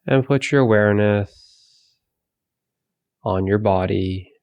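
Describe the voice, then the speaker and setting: A man leading a guided meditation, giving calm, soothing, gentle instructions with long pauses between phrases. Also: lowish voice, no echo, highs cut off.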